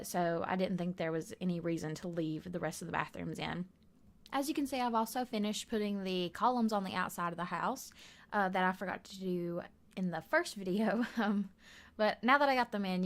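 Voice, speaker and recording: Hoarse woman rambling over gameplay. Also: lowish voice. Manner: rambling, many fillers, soft laughs. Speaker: gaming video creator. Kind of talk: casual voiceover over a speed build video. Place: bedroom at home.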